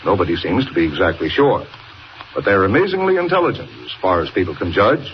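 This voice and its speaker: An actor playing a brisk, urgent newspaper boss in 1940s radio style, speaking with emphatic authority. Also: male voice, sits low, very animated. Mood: urgent, intriguing. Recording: muffled, noisy 1940 radio recording.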